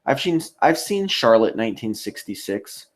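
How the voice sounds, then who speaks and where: A man hosting a casual livestream, reading out chat comments and replying in a rambling, halting way. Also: mid-range voice, sing-song. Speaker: live stream host.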